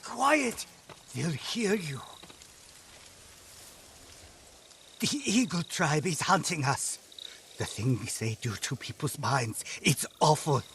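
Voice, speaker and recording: An actor playing a frightened, grateful peasant in a video game, anxious and halting. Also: male voice, on the high side, animated, fairly bright tone, slight background noise, full character voice. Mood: frightened, hushed. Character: frightened male peasant fleeing raiders, hushed and grateful. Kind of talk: video game cutscene acting.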